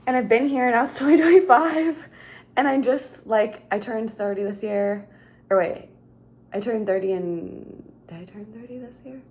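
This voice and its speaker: A woman's voice: on the high side, normal speed, darkish tone. A woman, chatting casually in a rambling vlog at home, full of "like" and "um". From Minnesota, USA. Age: 30s. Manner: rambling, chatty, heavy use of "like". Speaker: vlogger. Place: her home.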